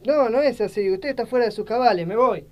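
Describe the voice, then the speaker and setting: A woman's voice: fairly high pitch, fast talker, natural voice. A woman playing an educated, principled and blunt political image adviser in an amateur satirical sketch. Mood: indignant. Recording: faint noise and clicks.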